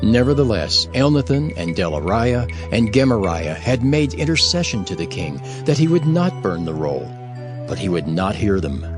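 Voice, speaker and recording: A man narrating dramatized King James scripture in a formal, measured storytelling manner. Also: fairly low pitch, big pitch swings, over music.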